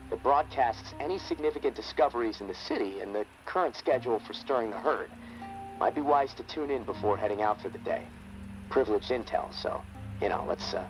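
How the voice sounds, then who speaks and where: A man's voice: mid-range voice, natural voice, poor audio. A man playing a friendly, eager video game technician who guides a survivor, hesitant and stammering. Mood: confiding. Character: friendly, nervous, eager male technician guiding survivor by radio. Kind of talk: naturalistic video game voice acting.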